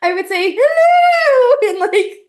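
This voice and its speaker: Bubbly high-voiced young woman. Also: slow pace. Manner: bubbly, excitable, laughs a lot. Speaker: podcast co-host. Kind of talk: casual chat.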